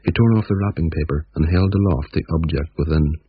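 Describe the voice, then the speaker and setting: A man with a low, gravelly, husky voice narrates a story gently and without hurry. The sound is dry and close, and slightly dull. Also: brisk, no room sound.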